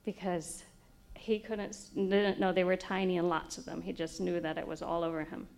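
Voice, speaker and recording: A middle-aged woman who grew up in Brazil, telling a lively anecdote to a church group as a missionary speaker. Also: fairly low pitch, moderate pace, gravelly. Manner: animated anecdote, chuckling as she tells it.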